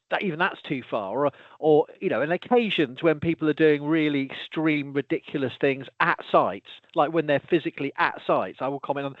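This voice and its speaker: A man hosting a casual conversation, who talks in an animated way, breaks off and restarts often, and slips in exasperated asides. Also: a little high, no room sound, dull audio. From lives in Wales. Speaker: podcast host, archaeology professor.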